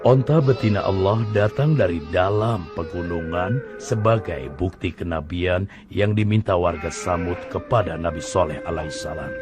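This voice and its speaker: A man narrating a religious cartoon story in a grave, measured way, with music underneath. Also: sits low.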